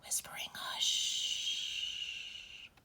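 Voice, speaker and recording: A woman reading a bedtime picture book aloud for young children as a host, with deliberate phrasing. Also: sharp tone. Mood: whispered. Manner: slow, deliberate phrasing for small children, drops into whispers.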